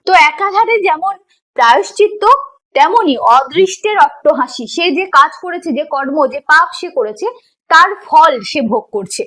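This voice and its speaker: A woman's voice: sits high, lively intonation, normal speed, pushed and bright, low-quality recording. A woman teacher giving an explanatory online lesson in a didactic way, posing questions and answering them herself, and repeating key points. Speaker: teacher of an exam preparation course.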